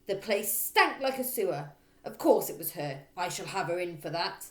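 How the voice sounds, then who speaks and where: A woman voicing a bullying middle-aged headmistress in a storybook, who barks and shouts with open contempt. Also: fairly low pitch, animated, moderate pace, echoey room, lightly acted. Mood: scornful, loud.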